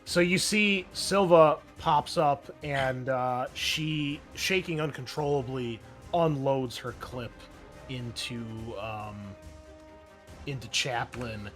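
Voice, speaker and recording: A man acts as game master for a tabletop roleplaying session. He narrates off the cuff in a conversational, halting way at a middling pitch, with faint music playing underneath. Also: a bit bright.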